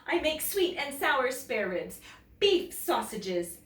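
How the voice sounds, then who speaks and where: A woman teacher reading a picture book aloud to children in an expressive, deliberate, animated way. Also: high voice. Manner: expressive, deliberate, addressing young listeners. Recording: roomy, echoey, distant mic, faint noise.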